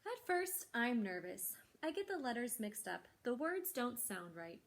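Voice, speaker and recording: A woman's voice: fairly high pitch, sing-song, a little slow, pitched above the performer's own voice. A woman voicing an earnest, anxious young girl who is a reluctant reader in a storybook, with emphatic, simple phrasing. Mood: hopeful.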